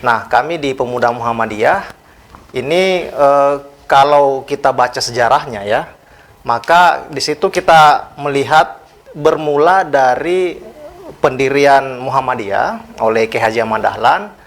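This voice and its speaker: Sex: man. Origin: South Sulawesi. Role: interview guest. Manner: polite, measured, pauses to search for words. Pitch: middle. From South Sulawesi, Indonesia. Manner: polite and measured, many hesitant fillers. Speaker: guest, youth organisation official. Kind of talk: interview talk.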